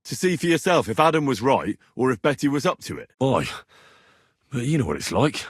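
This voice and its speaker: An actor playing an earnest, plucky young medieval adventurer in a video game, polite and plain-spoken, with a slightly husky voice. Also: male voice, mid-range voice, sing-song, fairly quick, no room sound, clean studio sound. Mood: conversational. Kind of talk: video game character dialogue.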